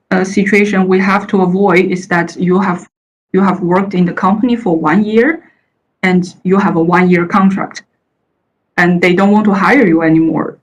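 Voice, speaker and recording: Woman from china, chinese her first language, a bit low-voiced, halting presentation delivery. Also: slight background noise, rough recording. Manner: hesitant, many uh fillers and restarts. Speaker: panel speaker. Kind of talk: presentation talk. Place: the Netherlands.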